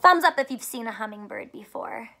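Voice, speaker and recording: A woman's voice: on the high side, expressive, brisk, pushed and bright. A woman in her thirties reading a picture book aloud as a teacher, explaining simply and posing questions to young listeners. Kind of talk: children's story read-aloud with simple teaching. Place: small furnished room at home, indoors.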